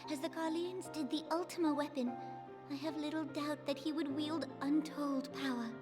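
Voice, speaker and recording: An actress playing a poised, stern and shrewd noblewoman in a fantasy video game, with formal, measured, assertive speech. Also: high-pitched, fairly even intonation, over music, lightly acted. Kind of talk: dramatic fantasy game dialogue.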